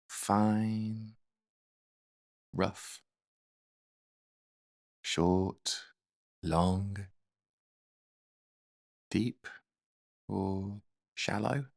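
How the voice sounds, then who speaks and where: A man leading a guided meditation in a gentle, soothing, unhurried manner. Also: fairly low pitch, creaky, no echo, studio quality.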